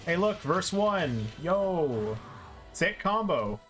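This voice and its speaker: A man's voice: sits high, big pitch swings, extremely slow, fairly bright tone. A man streaming a game with casual, explanatory commentary and exasperated asides. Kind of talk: casual live gameplay commentary. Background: game music.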